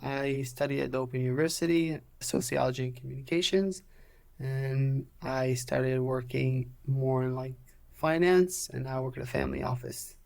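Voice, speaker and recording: A man in his twenties with an Israeli accent, a native Hebrew speaker, telling his story as an interview guest in a measured, halting way. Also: mid-range voice, creaky, a little mellow. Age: 20s. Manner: measured, halting, many "uh" pauses. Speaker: guest, former soldier.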